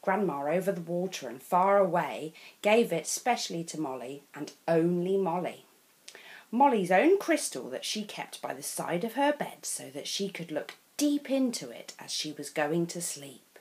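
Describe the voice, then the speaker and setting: A woman from England with an English accent reading a children's picture book aloud as a storyteller. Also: fairly low pitch. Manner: slow, expressive read-aloud.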